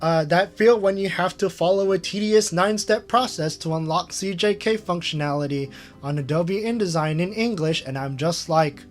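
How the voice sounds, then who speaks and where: Rambling young-sounding man, higher voice. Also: normal speed, soft background music. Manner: rambling, filler-heavy, self-amused. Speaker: channel host. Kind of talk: casual screen-share retrospective.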